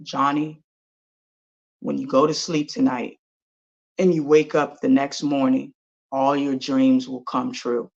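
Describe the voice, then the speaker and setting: A woman voicing a wise, all-knowing male apple tree spirit in a slow, solemn manner. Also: extremely low pitch, flat delivery, creaky, darkish tone, lo-fi sound, pitched below the performer's own voice, exaggerated voice.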